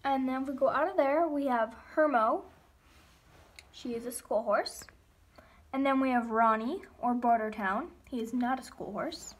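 A woman giving a casual guided tour of her model horse barn, listing each horse in a steady run of "and then". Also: high voice, normal speed. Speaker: model horse hobby vlogger. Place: indoors in a home room beside a toy horse barn.

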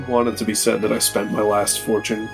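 A man's voice: on the low side, little pitch movement, normal speed, vocal fry, over music. A man playing a tabletop game, sardonic and self-mocking, swearing casually. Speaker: player.

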